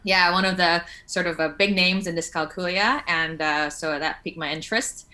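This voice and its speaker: A woman from Hong Kong who works as a teacher answers a host's questions in a friendly, conversational way. Her voice sits low in pitch but has a bright, crisp edge, and she uses easy fillers as she talks. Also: normal speed. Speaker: guest, learning support teacher. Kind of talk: interview answers.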